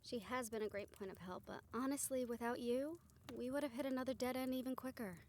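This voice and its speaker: A woman acting a friendly, supportive young woman in an audio roleplay, speaking in a gentle, earnest manner. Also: on the high side, fairly quick, slight background noise, close to an everyday voice. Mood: grateful.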